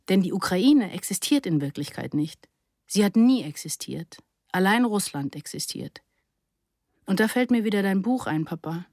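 A woman reading a reflective literary memoir aloud in a measured, articulate way. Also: medium pitch, no echo, clean studio sound. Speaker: narrator reading a first-person memoir. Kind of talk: scripted literary narration, reflective essay read aloud.

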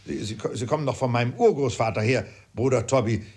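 Man acting out blustering middle-aged gentleman, irascible, indignant, husky, raised pitch, theatrical storybook reading. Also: a little fast, natural voice. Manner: exclaiming, sputtering, indignant. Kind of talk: theatrical audiobook character voice.

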